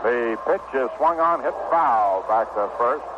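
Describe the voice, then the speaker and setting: Guy doing old 1960s radio baseball play by play, short clipped calls, dull lo-fi sound, loud static noise. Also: animated. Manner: terse clipped phrases with pauses between pitches. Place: ballpark broadcast booth. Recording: noisy muffled vintage AM radio broadcast.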